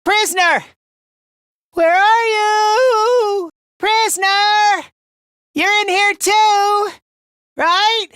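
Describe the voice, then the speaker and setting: A man's voice: high-pitched, sing-song, slow, fairly bright tone, no echo, clean studio sound, exaggerated voice. A man voicing a timid, self-loathing young male academy fighter in a video game, hesitant and prone to stammering. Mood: desperate shouting.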